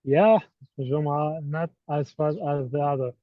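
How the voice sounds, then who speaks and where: A man's voice: fairly high pitch, measured pace, no echo. Playful man hosting a quiz. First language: Arabic. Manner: playful, teasing, upbeat. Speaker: course instructor. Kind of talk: running a live online quiz. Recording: dull, dry online call audio.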